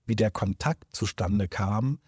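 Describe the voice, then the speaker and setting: A man with a lowish voice hosting a podcast interview in a room indoors. He talks in a measured, formal manner, welcoming and introducing his guest. The recording is dry. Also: darkish tone, breathy edge, no room sound. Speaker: podcast host.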